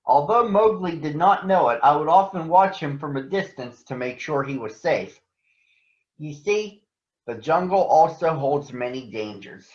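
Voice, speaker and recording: Guy playing a protective panther telling a jungle story, earnest, bit high, echoey room. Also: expressive, measured pace. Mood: earnest storytelling. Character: protective male panther narrating a jungle tale. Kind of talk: storybook narration. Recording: roomy echo, dull lo-fi sound.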